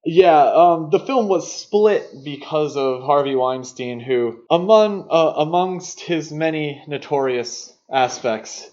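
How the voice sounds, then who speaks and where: A man co-hosting a podcast, discussing films conversationally in a measured, analytical and opinionated way. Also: on the high side, a little mellow, dull audio. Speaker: podcast co-host.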